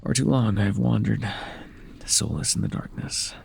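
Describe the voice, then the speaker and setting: Deep, gravelly, breathy lonely fallen god acted by a man. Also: animated, darkish tone, heavily acted. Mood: mournful, brooding. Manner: slow, halting, brooding delivery with sighs. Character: forgotten lonely male former god, sorrowful and wounded. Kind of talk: ASMR audio roleplay monologue.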